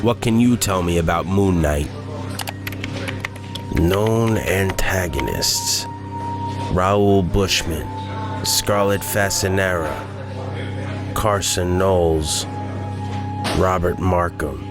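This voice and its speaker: A man's voice: low-pitched, slow, pauses often. A man playing a bitter, resentful street cop in a comic dub, with a sullen manner. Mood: businesslike. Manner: sullen, indignant. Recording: music behind the voice.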